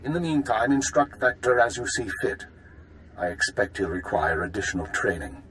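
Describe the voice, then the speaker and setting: A man's voice: lowish voice, big pitch swings, normal speed, fairly bright tone, a little hiss or hum, poor audio. A man voicing a curt, authoritative intelligence chief in a video game, clipped and businesslike. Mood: stern, commanding. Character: curt, authoritative spymaster man commanding agents.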